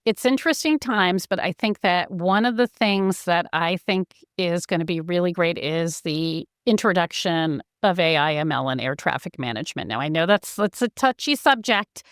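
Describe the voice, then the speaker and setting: A woman's voice: on the low side, animated, normal speed, few pauses, smooth, dry recording, studio quality. A woman executive giving explanatory answers in an interview, speaking in an earnest, enthusiastic and articulate way. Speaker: company chief executive, interview guest.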